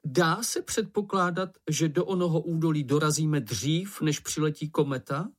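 A storyteller plays a fussy young male creature in a children's storybook. He sounds earnest and pedantic, with a raised, husky voice that strains with exasperation. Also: mid-range voice, pitched above the performer's own voice, natural voice. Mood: fussy, officious.

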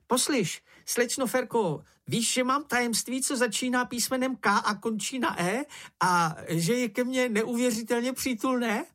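A storybook reader voicing a small, boastful, excitable young male creature with a whiny, exclaiming manner. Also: medium pitch, voice pushed up, lightly acted. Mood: boastful, excited. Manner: exclaiming, self-important.

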